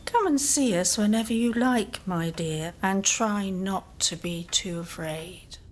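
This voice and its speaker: An actress playing a dreamy, genteel, frank spinster aunt in an audio play. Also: female voice, medium pitch, slow pace, slight background noise, close to an everyday voice. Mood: gentle, curious.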